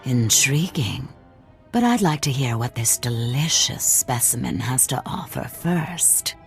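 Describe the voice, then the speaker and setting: A woman playing a languid, sadistic crime boss in a video game, with a purring, teasing, drawn-out delivery that drops into whispers. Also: extremely low pitch, animated, faint music behind, full character voice. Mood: teasing, intrigued. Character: sadistic, languid crime boss lady who savors cruelty.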